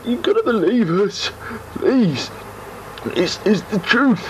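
Low, earnest, begging voices of former henchmen, desperate to be believed, as one performer puts them on. A homemade radio play, lively in pitch, with faint hiss. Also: slow. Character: pleading freed henchmen, once controlled.

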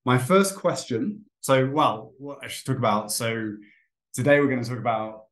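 A man in his thirties hosts a relaxed podcast for English learners. He sounds friendly, stops to explain words, and his speech is full of ums and restarts. His voice sits at an ordinary pitch for a man. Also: normal speed. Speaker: podcast host and English teacher. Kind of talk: casual interview for English learners.

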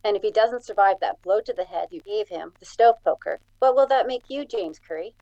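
Woman playing gentle bedside nurse, hushed, soothing, medium pitch, dry sound, radio drama. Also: expressive, brisk, dry recording, highs cut off, close to an everyday voice. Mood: defiant. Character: soothing, compassionate grown daughter nursing a wounded man.

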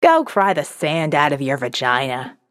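A woman voicing a crude, menacing male constable who spits gruff insults in an audio novel. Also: low voice, very animated, moderate pace, a bit bright, big-room sound, pitched below the performer's own voice. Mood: gruff, hostile.

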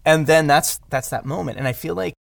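Man thinking aloud, creaky voice. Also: a little high, brisk, no echo, clean studio sound. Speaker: audio drama director, interview guest. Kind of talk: casual podcast discussion.

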